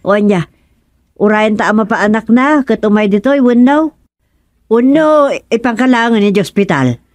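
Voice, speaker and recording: A woman acting a fussing, exasperated village wife in an Ilocano radio comedy drama, with an expressive, exclamatory delivery. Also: fairly high pitch, moderate pace, dry recording, lightly acted. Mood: chatty, concerned.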